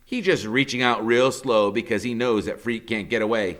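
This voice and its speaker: A man reading a novel aloud as a dramatic first-person narration, expressive and suspenseful. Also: medium pitch, normal speed.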